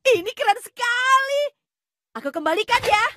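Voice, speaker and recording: A woman voicing an excitable, whiny, mischievous young schoolboy in an Indonesian cartoon dub. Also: extremely high pitch, moderate pace, slight background noise, full character voice. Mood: amazed.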